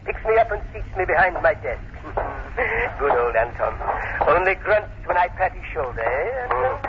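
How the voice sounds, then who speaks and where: An imperious, sinister academic in an old radio drama. His high voice swings widely, sardonic and falsely courteous, through clear recording noise. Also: very animated, moderate pace, lo-fi sound. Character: arrogant, sinister crippled philosophy professor, male. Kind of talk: 1940s radio acting.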